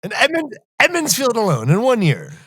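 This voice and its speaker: A man's voice: very high voice, huge pitch swings, normal speed, fairly bright tone, breathy edge, no echo, studio quality. A man co-hosting a casual book discussion podcast, with a dramatic, amused way of talking. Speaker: podcast co-host.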